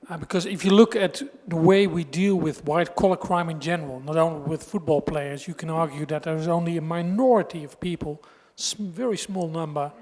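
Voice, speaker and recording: A man with a fairly high voice comments on a conference panel in a big echoing hall. He speaks off the cuff, explaining his point like an expert. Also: darkish tone. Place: large indoor conference hall. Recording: echoey hall, long reverb.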